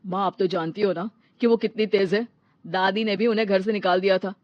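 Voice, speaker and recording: A woman's voice: medium pitch, fairly even intonation, a little fast, close to an everyday voice. A woman playing a young married son in a story, a casual, reasoning peacemaker, on a dull recording.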